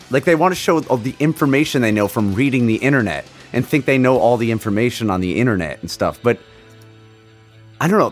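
A man co-hosting a podcast in casual, rambling, slightly hesitant conversation. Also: mid-range voice. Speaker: podcast co-host. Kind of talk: casual chat.

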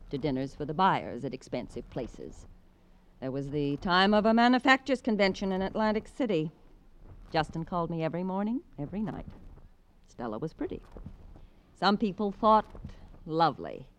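A woman's voice: mid-range voice, natural voice, rough recording. A woman playing a bitter, guarded, sardonic wife in an old-time radio crime drama, weary and cutting in manner.

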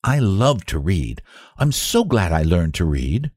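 A man's voice: sits low, sing-song, a little slow, darkish tone, breathy edge, no room sound, studio quality, exaggerated voice. A man voicing a young boy king in a storybook, eager, curious and cheerfully impatient. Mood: impatient, insistent.

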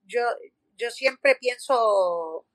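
A woman's voice: on the high side, very slow, no echo. A Puerto Rican woman, an actress, telling stories in an interview with lots of fillers and restarts. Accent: Puerto Rican Spanish. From Puerto Rico. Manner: animated storytelling with many fillers and restarts. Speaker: actress guest. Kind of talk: conversational interview.

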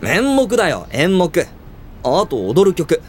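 A woman playing a reserved, blunt high school boy in a radio drama, speaking both curt dialogue and introspective narration. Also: huge pitch swings, takes it slowly, faint music behind, exaggerated voice. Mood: exasperated. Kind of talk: radio drama acting and first-person narration.

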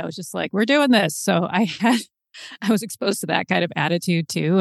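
Woman from Seattle, low voice, dry close sound, reflective storytelling, podcast guest. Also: vocal fry, no echo. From Seattle, USA. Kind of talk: conversational interview.

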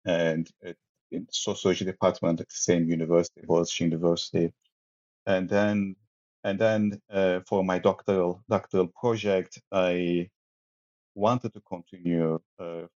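A man's voice: low-pitched, darkish tone, dull audio. A man from Turkey with a Turkish accent, a sociologist talking about his research as an interview guest, hesitant with many restarts and fillers. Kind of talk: academic interview.

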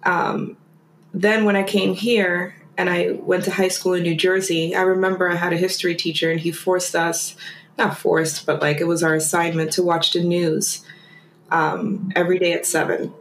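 Lady from germany rambling on as a guest, lots of likes and ums, lowish voice, roomy echo. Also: flat delivery, normal speed, a little hiss or hum. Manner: chatty and rambling, with many "like" and "um" fillers. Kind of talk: casual conversation.

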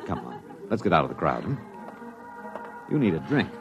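An actor playing a married American traveler on the run, soothing but impatient, in an old-time radio drama. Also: male voice, very deep voice, very animated, normal speed, vocal fry, lo-fi sound, heavily acted. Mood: relaxed, reassuring. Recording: vintage radio drama recording with music.

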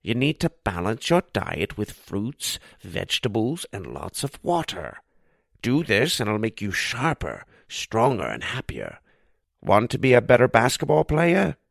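A man voicing a kindly old pizza shop owner who gently gives advice in a storybook. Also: fairly low pitch, wildly swooping pitch, a little slow, vocal fry, no room sound, clean studio sound, exaggerated voice.

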